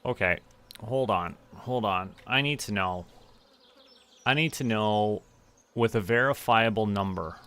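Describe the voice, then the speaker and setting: A young man streaming a farming game, relaxed, muttering to himself and counting aloud. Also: on the low side, measured pace, pauses often, clear, resonant tone. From Canada. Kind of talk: relaxed gameplay commentary.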